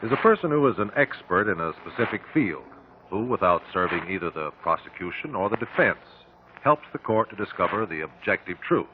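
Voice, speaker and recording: Measured legal sleuth, American accent, lowish lively voice, courteous, thin 1950s radio sound with faint noise. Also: male voice. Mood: explanatory. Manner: precise, measured, explanatory. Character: calm, courteous expert legal investigator. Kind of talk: 1950s radio drama acting. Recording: tinny old radio recording, faint noise.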